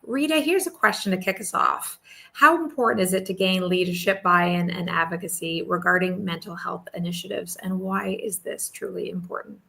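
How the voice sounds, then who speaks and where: A woman's voice: on the low side, steady tone, moderate pace, creaky, sharp tone. A woman moderating an online panel, upbeat and welcoming, speaking over a video call.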